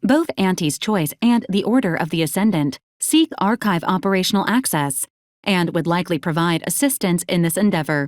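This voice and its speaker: A woman voicing a polite, literal robot companion in a video game, speaking in formal, clipped, deadpan advisories with dry caution. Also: lowish voice, dry recording, close to an everyday voice. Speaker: companion unit reporting to a commander.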